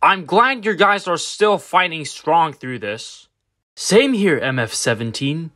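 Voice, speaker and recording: An earnest toy race car voiced by a man in a homemade fan dub. The voice is on the high side and moves freely up and down in pitch. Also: animated, slow pace, bright, forceful tone, heavily acted. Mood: earnest, conversational. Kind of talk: amateur fan dub voice acting.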